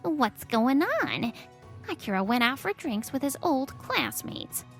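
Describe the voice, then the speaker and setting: Controlling elderly matriarch, played by a woman, imperious, slow, swooping high-pitched delivery for a manga dub. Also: very animated, soft background music, exaggerated voice. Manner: imperious, scolding. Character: haughty, controlling old mother-in-law.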